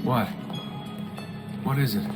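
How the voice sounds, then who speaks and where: An actor playing a reluctant young recruit in a video game, speaking in a casual, questioning, sardonic way. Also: male voice, medium pitch, slow, loud music behind the voice, close to an everyday voice. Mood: wary, sardonic.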